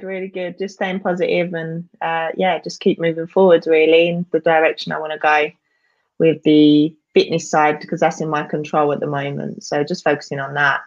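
A young woman with a Southeast London accent chats casually as an interview guest. She sounds upbeat and tends to drop off quietly at the ends of lines. Her voice comes through a dull, lo-fi video-call connection. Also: low-pitched. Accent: Southeast London English. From England. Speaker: guest, wrestler. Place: small room indoors.

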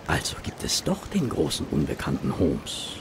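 A thoughtful detective's companion telling the story, radio drama, dramatic slow delivery, really swoopy pitch, bit of fry. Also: male voice, wildly swooping pitch, noisy background, full character voice. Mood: matter-of-fact. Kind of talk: German radio play acting and scripted narration.

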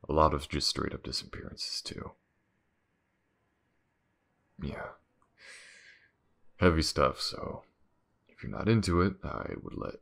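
An extremely low-voiced, gruff detective played by a male voice actor in an intimate audio roleplay. He is weary and aloof, muttering slowly and haltingly, with heavy gravel in the voice as he addresses his new partner. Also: a bit bright, heavily acted. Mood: gruff, testing. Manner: halting, muttering, sighing, informal.

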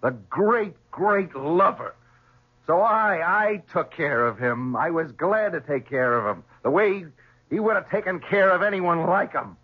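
A man's voice: sits high, animated, a little slow, narrow, tinny audio. An actor playing a distraught, defensive young man devoted to his mother, stammering under pressure in a 1970s radio drama. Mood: anguished.

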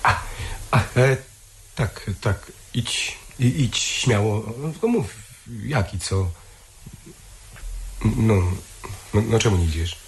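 An actor playing a cynical, mocking young bourgeois son in a radio play, theatrical and sardonic. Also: male voice, fairly low pitch, lively intonation, takes it slowly, gravelly, pauses often, exaggerated voice, low-quality recording. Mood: impatient. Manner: sardonic, theatrical, drawling interjections. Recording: old radio recording with faint noise.

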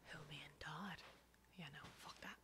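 A woman's voice: low-pitched, moderate pace, background noise, lightly acted. A woman playing a brash, wisecracking zombie-apocalypse survivor in a whispered ASMR horror roleplay, casual, rambling and sweary. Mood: wry, confiding.

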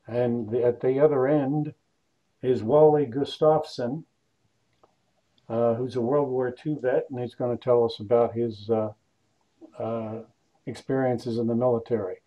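A man who runs oral history interviews, asking measured, polite questions over a video call. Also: mid-range voice, steady tone, dull audio. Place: Moorestown, New Jersey.

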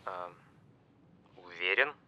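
A man's voice: sits low, animated, takes it slowly, no room sound, dull audio. An actor playing a hard-up small-time scammer and young father, awed and sheepish, using hesitant street slang in an audio film. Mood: sheepish, confessing.

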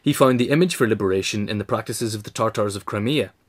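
Fast-paced male narrator. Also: lowish voice, breathy edge. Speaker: narrator, reader. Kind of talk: scripted narration, essay reading.

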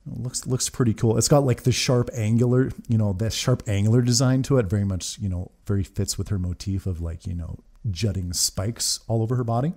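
Low, mellow man reacting. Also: fairly quick, few pauses. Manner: informal, enthusiastic, sweary. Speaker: reaction video host. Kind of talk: casual trailer reaction commentary. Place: small room at a desk, indoors. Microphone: large desk microphone, close.

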